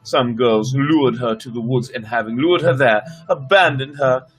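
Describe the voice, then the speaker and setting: A man's voice: mid-range voice, moderate pace, faint music behind, lightly acted. A man voicing a lost, weeping little girl from a fairy tale, plaintive, wary and dramatic. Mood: plaintive lament. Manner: plaintive, dramatic, repetitive lamenting.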